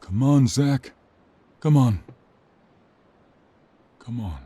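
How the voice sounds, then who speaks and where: An actor playing a protective, weary mobster with a grave, devoted manner and a husky voice in an audio roleplay. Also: male voice, mid-range voice, lively intonation, drawn-out words, warm tone, a little hiss or hum. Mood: urgent, desperate.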